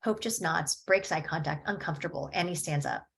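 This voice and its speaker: Woman reading stage directions, lowish voice, steady and matter-of-fact. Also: a little fast, creaky.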